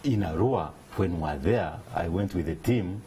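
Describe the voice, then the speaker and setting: Man, business guest, deep and warm, earnest, measured delivery. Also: expressive, a little hiss or hum. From Uganda. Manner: measured, earnest, explanatory, with restarts. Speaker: interview guest, business leader. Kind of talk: studio interview.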